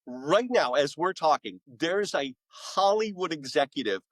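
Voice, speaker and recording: A middle-aged man chatting as an interview guest, enthusiastic and emphatic, pausing and repeating words for effect. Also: on the high side, lively intonation, dry recording. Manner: enthusiastic, emphatic storyteller with dramatic pauses and repetition. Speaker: author and voice-acting school director, podcast guest. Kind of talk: casual, animated interview chat.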